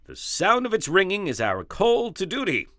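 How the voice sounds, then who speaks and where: A man's voice: high voice, huge pitch swings, moderate pace, sharp tone, dry recording, clean studio sound, heavily acted. A man playing an earnest, pompous superhero who is easily flustered, in a comedic audio serial. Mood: pompous, confident. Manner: pompous, dramatic. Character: earnest, self-important but easily flustered male superhero.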